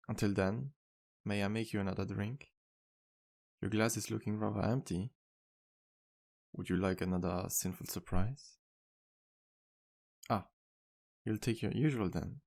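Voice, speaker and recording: Man playing a low, gravelly, charming vampire bartender. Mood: tender, flirting. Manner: soft-spoken, polite, earnest, flirtatious. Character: charming, sweet male vampire bartender, earnest and wistful. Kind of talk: ASMR roleplay, intimate one-sided dialogue to listener.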